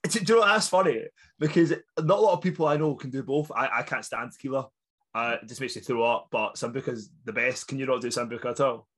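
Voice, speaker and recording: A man's voice: medium pitch, fast talker, fairly bright tone. A young adult man with a Scottish accent, co-hosting a casual football podcast, rambling with fillers and laughter. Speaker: podcast co-host. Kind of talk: casual chat.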